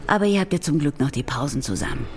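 An actress playing a strict but caring schoolteacher in a children's radio play, addressing pupils in a brisk, commanding way. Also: female voice, sits low, animated, creaky, darkish tone, slight background noise. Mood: patient, firm.

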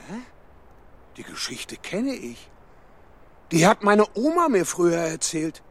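An actor playing a simple-minded, eager castle soldier in a German children's radio play, blunt and naive. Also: male voice, high voice, huge pitch swings, slow pace, fairly bright tone, exaggerated voice. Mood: puzzled, naive.

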